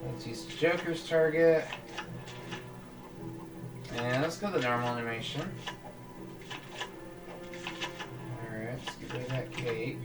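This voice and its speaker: A man speaks slowly and haltingly while he plays, thinking aloud and restarting his sentences. His voice sits back from the mic in an echoey room. Game music plays clearly behind him. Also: mid-range voice, fairly even intonation, far from the mic. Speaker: gaming channel host. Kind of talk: casual let's-play commentary.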